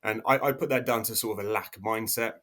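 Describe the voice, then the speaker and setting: A man's voice: on the low side, steady tone, a little fast, a bit bright. A man in his thirties who grew up in South Africa but now sounds English, talking casually as a podcast guest with frequent fillers and restarts. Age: 30s. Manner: hesitant, many restarts and fillers. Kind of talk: casual interview talk.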